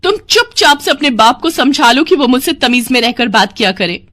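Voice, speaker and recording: Woman voicing a haughty, sassy young bride, lively pitch. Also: moderate pace. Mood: haughty, demanding. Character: arrogant, defiant young modern bride. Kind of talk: Hindi family cartoon dialogue.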